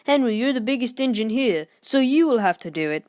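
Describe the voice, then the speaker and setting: A woman's voice: a little high, animated, a little fast, darkish tone, dry recording, clean studio sound, pitched above the performer's own voice, close to an everyday voice. A stern storybook railway boss, acted out by a woman. He gives urgent orders in a raised, commanding voice.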